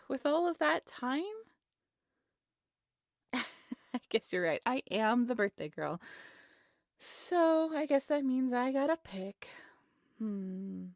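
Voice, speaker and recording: A woman playing an affectionate, playful young woman prone to overthinking, in an intimate roleplay audio drama, with soft, teasing, expressive delivery. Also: a little high, slow, long pauses, no echo, studio quality, heavily acted.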